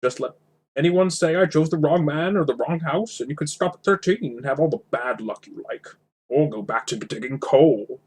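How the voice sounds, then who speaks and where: A man's voice: fairly high pitch, moderate pace, darkish tone, husky, voice pushed up. A man voicing a stern old wizard from a storybook, speaking with emphatic authority. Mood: stern, commanding.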